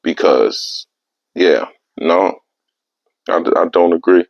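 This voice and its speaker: Young man, podcast host, casual streetwise monologue, low and gravelly, dry close sound. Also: slow pace, no echo. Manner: animated, streetwise slang, repeats "you know what I mean". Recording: dry, slightly dull lo-fi sound.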